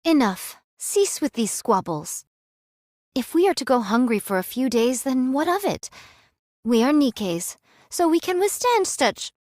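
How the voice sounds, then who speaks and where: A woman's voice: high-pitched, very animated, a little slow, a little mellow, no room sound, studio quality. An actress playing a proud, weary android swordswoman in a game drama, with formal, archaic and haughty diction. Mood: stern.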